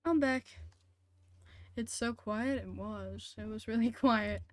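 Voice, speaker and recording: Higher-voiced woman streamer in a roomy space. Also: slow. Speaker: live streamer. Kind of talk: casual livestream chat while gaming.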